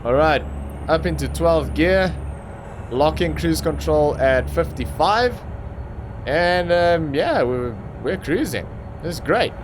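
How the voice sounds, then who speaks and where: A man's voice: on the high side, animated, slow pace, background noise. A young man from Africa, an Afrikaans speaker, rambling through casual commentary on a truck driving game with plenty of ums and restarts. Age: young adult. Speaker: gaming channel host.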